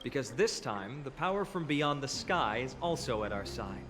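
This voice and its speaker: A man's voice: mid-range voice, sing-song, normal speed, over music, natural voice. Man playing a resolute young warrior, reverberant. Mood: determined, strategic. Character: young male warrior hero, earnest and resolute. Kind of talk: anime fantasy game voice acting.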